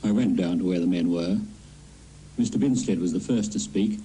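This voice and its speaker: A man's voice: low voice, normal speed, warm tone, breathy edge, poor audio. An Australian-accented man recounting a memory on an old archive recording.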